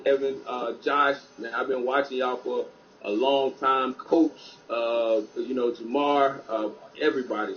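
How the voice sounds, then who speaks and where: A man speaking as a guest on an online talk show, loud and passionate, with many restarts. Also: medium pitch, lo-fi sound.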